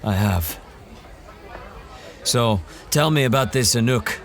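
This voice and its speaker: An actor playing a wry, seasoned monster hunter who talks calmly and drily. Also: male voice, mid-range voice, vocal fry. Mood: recounting. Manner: calm, dry and unhurried, lightly mocking. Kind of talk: audio drama acting.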